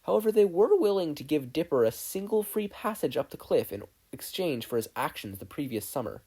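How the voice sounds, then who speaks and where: Husky-voiced narrator reading a story expressively. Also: mid-range voice, sing-song, fairly quick, dark tone. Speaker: podfic narrator.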